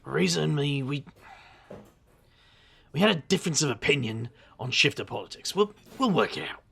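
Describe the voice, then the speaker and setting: Actor as a gravelly, brooding, wry man. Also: fairly high pitch, big pitch swings, moderate pace, fairly bright tone, heavily acted. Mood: uneasy, evasive. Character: weary, gravelly, brooding man narrator with dry bitter wit. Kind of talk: audio drama narration and dialogue.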